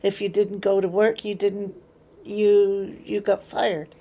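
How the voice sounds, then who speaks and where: A woman's voice: medium pitch, flat delivery, slow, warm tone. An older woman from England with an English accent, recounting her memories in an interview in a plain-spoken way. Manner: plain-spoken storyteller, acts out remembered exchanges.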